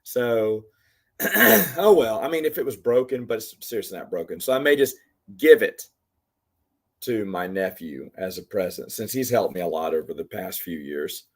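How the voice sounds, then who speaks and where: A man in his forties, hoarse from losing his voice, rambling in casual chat as host of a live Q&A and answering commenters by name. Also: on the low side, expressive, normal speed, a little mellow. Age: 40s. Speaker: livestream host.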